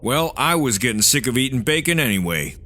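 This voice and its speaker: An actor playing a blunt, dismissive fugitive outlaw camped in the wilderness, in old-time radio adventure style. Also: male voice, mid-range voice, very animated, fairly quick, noisy background, close to an everyday voice.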